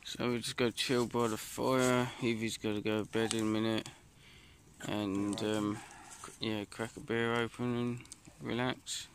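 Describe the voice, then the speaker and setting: A British man chatting casually to camera as an outdoor camping vlogger, with a low-key, laid-back manner. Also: level tone, measured pace. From United Kingdom. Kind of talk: casual vlog chat. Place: outdoors in woodland. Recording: noisy handheld outdoor recording.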